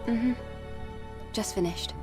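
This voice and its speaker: A woman's voice: fairly high pitch, slow. An actress playing a resolute young female soldier in a video game, composed, determined and clipped. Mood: calm. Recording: slightly distant voice over music.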